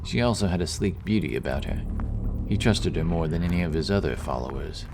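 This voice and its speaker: Deep-voiced male audiobook narrator. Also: expressive, fairly quick, gravelly, darkish tone, slight background noise. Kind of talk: scripted fantasy audiobook narration.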